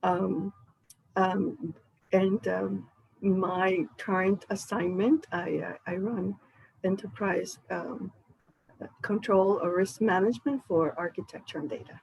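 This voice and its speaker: A woman from Puerto Rico introducing herself on a video call, halting with many fillers. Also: mid-range voice, steady tone, vocal fry, darkish tone, breathy edge, poor audio. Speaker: company director. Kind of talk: casual self-introduction.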